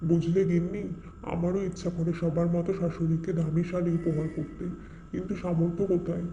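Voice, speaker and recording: Cartoon poor husband played by a man: plaintive, homely, high-pitched, in a roomy echo. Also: level tone, fairly quick, warm tone, muffled recording, natural voice. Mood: worried, plaintive. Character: humble, worried village husband, poor farmhand out of work. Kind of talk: Bengali cartoon fairy-tale acting. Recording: roomy echo, faint ambient noise.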